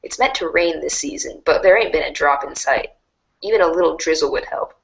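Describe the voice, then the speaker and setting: Low-pitched woman storybook narrator. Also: a little fast, vocal fry, dull audio, slight background noise.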